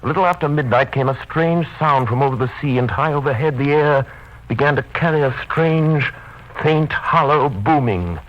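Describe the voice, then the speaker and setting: A man's voice: fairly high pitch, lightly acted. Man acting a grave doctor on muffled radio. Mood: ominous narration. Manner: formal, measured, grave. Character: sober male doctor formally presenting evidence. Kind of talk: 1930s radio drama narration. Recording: muffled vintage radio recording.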